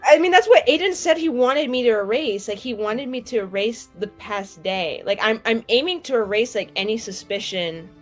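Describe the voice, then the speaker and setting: A woman's voice: a little high, lively intonation, moderate pace, few pauses, soft background music. A woman chatting casually as a player in a tabletop roleplaying game.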